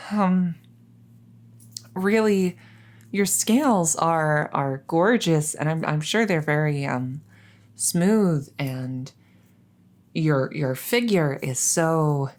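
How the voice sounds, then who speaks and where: A woman playing a coy, flustered young crown princess in an intimate audio roleplay, speaking in a halting, stammering, nervous way. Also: deep voice. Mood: shy, halting. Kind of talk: intimate audio roleplay acting addressed to the listener.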